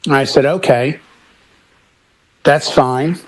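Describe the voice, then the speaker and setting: A man's voice: mid-range voice, takes it slowly. A middle-aged man chatting casually as a DJ on a panel, telling anecdotes and acting out the dialogue in them. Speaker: DJ and talk show panelist. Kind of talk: casual chat and storytelling.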